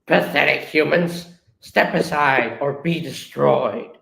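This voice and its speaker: A man voicing a tormented robot warrior with a growling, slow, dramatic delivery, recorded in an echoey room. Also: fairly high pitch, vocal fry, sharp tone, heavily acted. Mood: cold menace. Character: tormented robot warrior soldier, growling, defiant, later softened.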